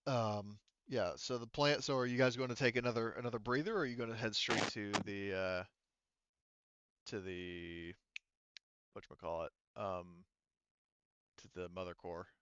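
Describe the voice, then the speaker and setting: Guy game mastering, halting casual narration, bright crisp voice, dry slightly dull mic. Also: on the low side, fairly even intonation, dry recording. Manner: halting, frequent self-interruption.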